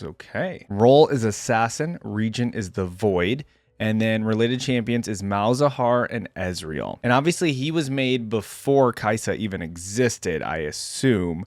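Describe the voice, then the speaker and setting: A man speaks at a middling pitch with very little room sound around him. He sounds like a gaming video host, moving between reading aloud and informal commentary as he thinks out loud. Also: no echo, studio quality. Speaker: reaction video host and gamer. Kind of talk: casual reaction commentary mixed with reading aloud.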